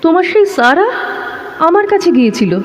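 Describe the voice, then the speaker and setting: Female actor, high voice with wide swings: coy, affectionate, elusive young lover for romantic audio drama. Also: moderate pace. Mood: playful. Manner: coy, expressive, with soft repeated refusals. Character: tender, teasing, evasive young woman in love. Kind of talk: romantic Bengali audio play dialogue. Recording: noisy track with ambient sound behind.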